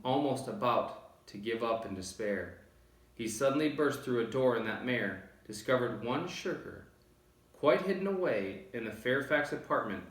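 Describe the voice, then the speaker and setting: A man's voice: medium pitch, fairly bright tone, echoey room, poor audio. A man narrating a rhyming children's storybook aloud, with expression. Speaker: storybook reader.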